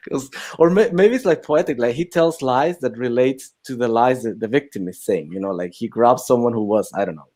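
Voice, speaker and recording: A man's voice: medium pitch, expressive, normal speed, a little mellow. Rambling man chatting casually. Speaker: co-host of a film chat livestream. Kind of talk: casual chat.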